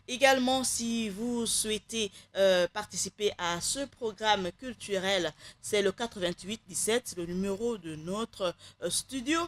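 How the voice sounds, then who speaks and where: A woman presents a radio program from a studio with a dry, close sound. She talks in an easygoing, chatty way at a middle pitch, thanking and greeting listeners and staff. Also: fairly bright tone, no room sound. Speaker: radio show host. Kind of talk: live radio show wrap-up.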